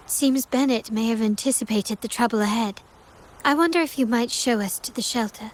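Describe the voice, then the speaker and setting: A woman's voice: a little high, normal speed, close to an everyday voice. An actress voicing a courteous, composed young noblewoman fighter in a video game.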